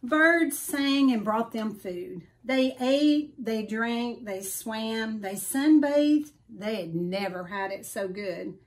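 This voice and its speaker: A middle-aged woman reading a children's story aloud with deliberate, expressive emphasis. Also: a little high. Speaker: storybook reader. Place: small furnished room at home.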